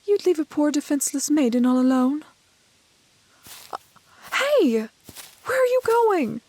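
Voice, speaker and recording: Young village maiden for romantic fantasy roleplay, acted by a woman: soft, highish, dry close sound, gentle and curious, quaintly courteous with hesitant pauses. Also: very animated, dry recording. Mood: pleading, alarmed, then indignant. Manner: old-fashioned polite phrasing, halting, breathy hesitations. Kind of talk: romantic fantasy audio roleplay spoken to the listener.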